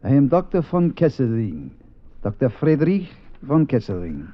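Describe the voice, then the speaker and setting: An actor playing a mimic posing as a formal, halting doctor in a 1950s radio drama. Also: male voice, mid-range voice, very animated. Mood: grave, solemn. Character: fake German doctor, grave and formal, put on by a mimic. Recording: dull 1950s radio recording.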